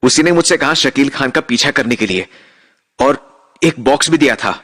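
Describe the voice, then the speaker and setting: A man's voice: medium pitch, lively intonation, normal speed, fairly bright tone. A man acting as a naive, anxious, good-hearted young traveller in an audio drama, speaking in an emotive, dramatic way. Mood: bewildered, anxious. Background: background music.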